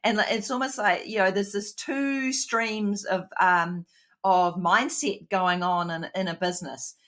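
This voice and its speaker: A woman's voice: mid-range voice, measured pace. A woman with a New Zealand accent, a little nasal from hay fever, co-hosting a casual chat and talking animatedly over a remote call. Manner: animated, frequent restarts, acts out quoted voices. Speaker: podcast co-host.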